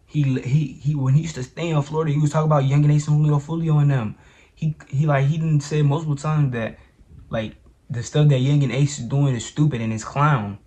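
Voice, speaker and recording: A man's voice: mid-range voice, dark tone. Man casually reacting with slangy asides. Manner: loose and slangy, with repeated restarts. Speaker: streamer reacting to a video.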